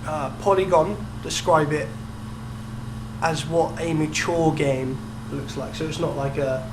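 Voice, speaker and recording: Higher-voiced young man in an echoey room. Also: unhurried. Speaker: podcast co-host. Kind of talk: casual chat about video games. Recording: roomy echo, steady background noise and hum.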